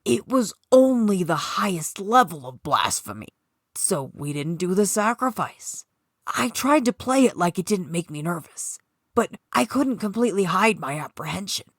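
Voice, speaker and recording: A woman's voice: mid-range voice, sing-song, normal speed, dry recording, clean studio sound. A woman narrating a supernatural short story from a script, as its author.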